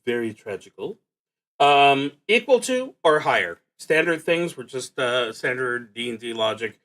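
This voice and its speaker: Guy streaming a solo tabletop rpg, slow talker, reading rules and thinking out loud, kinda halting. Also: medium pitch, big pitch swings. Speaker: host streaming a solo tabletop roleplaying game. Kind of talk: casual livestream rules explanation.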